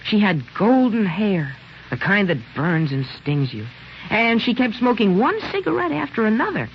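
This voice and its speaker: Lovesick teenage boy, American accent, swooping pitch, earnest, theatrical, boastful, old radio drama, muffled lo-fi sound. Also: big pitch swings, moderate pace, slight background noise. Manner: earnest, theatrical, hesitant and exclamatory. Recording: dull lo-fi old radio broadcast.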